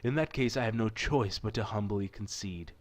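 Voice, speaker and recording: A man voicing a rich, generous gentleman in a visual novel, with a formal and self-assured manner. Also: lowish voice, moderate pace, natural voice. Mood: confident, teasing. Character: rich, suave young gentleman patron, generous and teasing.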